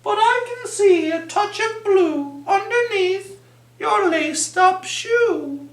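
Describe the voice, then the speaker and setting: A storyteller doing a prim nosy peacock, very high, bright, exclaiming, singsong, echoey room. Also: expressive, takes it slowly, exaggerated voice. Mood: fussy surprise. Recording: roomy, echoing sound.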